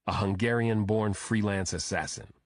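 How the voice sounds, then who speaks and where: A man's voice: lowish voice, steady tone, moderate pace, no room sound, clean studio sound, lightly acted. A man voicing a serious FBI agent who briefs a colleague in a terse, official way, in an audiobook. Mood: guarded, serious. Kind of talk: audiobook character dialogue.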